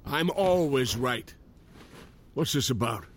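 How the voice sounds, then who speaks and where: A man's voice: medium pitch, lively intonation, slow pace, slight background noise. An actor playing a cocky, cantankerous older man in an audio drama, wry and curt. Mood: grumpy, wry.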